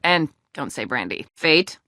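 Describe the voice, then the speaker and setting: A woman's voice: deep voice, very animated, unhurried, bright, forceful tone, no room sound, studio quality, exaggerated voice. An actress playing a blunt, sarcastic female dwarf who works as a tavern barmaid in a video game. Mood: wry, exasperated. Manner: sardonic, blunt, earthy. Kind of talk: video game character dialogue.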